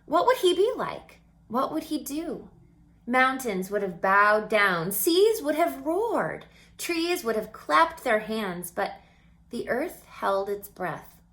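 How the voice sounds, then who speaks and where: A woman reading a story aloud to children in an expressive, dramatic way, with emphatic phrases and hushed moments. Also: a little high, takes it slowly. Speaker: storybook reader.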